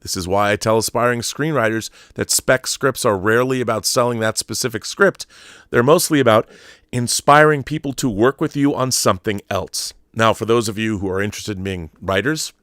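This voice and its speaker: A man's voice: on the low side, fairly quick, fairly bright tone, no echo, clean studio sound. A man hosting an online show, reading an article aloud in an emphatic storytelling way and adding explanatory asides.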